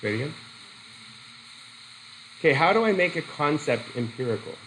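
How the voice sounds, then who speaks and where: A middle-aged man with a cold teaching a psychology methods class, halting and restarting as he questions students in a whiteboard classroom. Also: on the high side, big pitch swings, a bit bright, a little hiss or hum, low-quality recording. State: has a cold. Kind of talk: classroom lecture.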